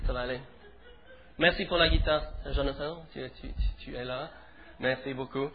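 A middle-aged man from England, a pastor preaching a sermon in French with an English accent, leading the congregation in animated declarations. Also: mid-range voice, normal speed, a little hiss or hum. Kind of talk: sermon in church. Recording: tinny phone-like sound with faint music.

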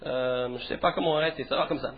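A middle-aged man from England, a pastor preaching a sermon in French with an English accent, leading the congregation in animated declarations. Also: mid-range voice, fast. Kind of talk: sermon in church. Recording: tinny phone-like sound with faint music.

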